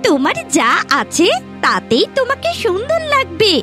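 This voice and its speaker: A woman's voice: very high-pitched, huge pitch swings, normal speed, sharp tone, soft background music, full character voice. A woman voicing a kind, sweet little magical talking bird in a children's cartoon, encouraging and reassuring.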